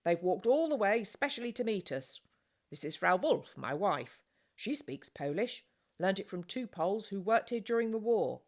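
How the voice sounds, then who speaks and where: A woman's voice: mid-range voice, big pitch swings, normal speed, voice pushed up. A woman voicing a jovial, blustering and talkative male farmer in an audiobook of a children's novel, teasing and emphatic. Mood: boisterous, hearty. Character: jovial, blustering, talkative German farmer, gruff but kindly.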